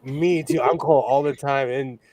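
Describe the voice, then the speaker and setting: A man's voice: medium pitch, steady tone, measured pace, a crowd in the background. A man hosting a livestream in casual, jokey chat, often breaking off and restarting his stories. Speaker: host of a sewing livestream.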